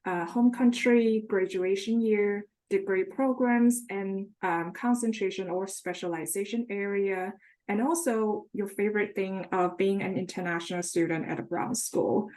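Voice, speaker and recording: A woman moderates an online panel, welcoming each speaker in turn. Her voice is mid-pitched and plain. She keeps things moving from one guest to the next. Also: steady tone, unhurried, a little mellow.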